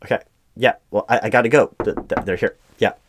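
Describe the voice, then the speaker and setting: A man's voice: fairly low pitch, fairly quick, slight background noise, lightly acted. A man playing a flustered, apologetic corporate hiring manager in a one-sided roleplay, stammering and repeating his words as he goes. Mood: exasperated. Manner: frustrated, hesitant. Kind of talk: improvised audio roleplay, one side of a conversation.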